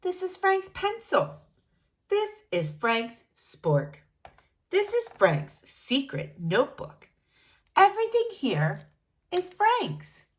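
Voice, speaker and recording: Slow, very animated woman reading to children. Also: very high voice. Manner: deliberate, expressive, addressing young listeners. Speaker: storybook reader for children. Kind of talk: children's read-aloud narration.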